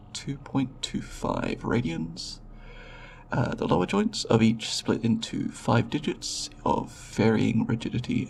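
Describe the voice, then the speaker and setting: A man playing a nervous, formal alien researcher in an ASMR audio roleplay, with a creaky voice and precise, clinical, hesitant wording. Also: mid-range voice, darkish tone, slight background noise. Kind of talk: ASMR roleplay, recorded report then reassurance.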